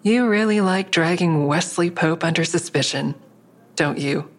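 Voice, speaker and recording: A woman's voice: fairly low pitch, a little slow. An actress playing an anxious, defensive woman under interrogation in an audio drama, guarded and earnest. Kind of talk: audio drama acting with first-person narration.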